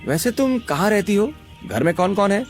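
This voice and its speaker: A man playing a young tea-stall keeper in a cartoon story. He is kind, easygoing and gently teasing, with a lively, moving pitch over faint music. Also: moderate pace. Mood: kind, concerned. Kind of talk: Hindi cartoon story acting.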